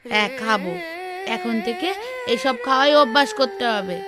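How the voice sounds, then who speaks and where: A woman's voice: a little high, very animated, normal speed. A woman playing a practical village housewife in a cartoon, bossy but caring, with a nagging, exclamatory way of talking. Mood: firm, consoling. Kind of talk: cartoon voice acting. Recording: music behind the voice.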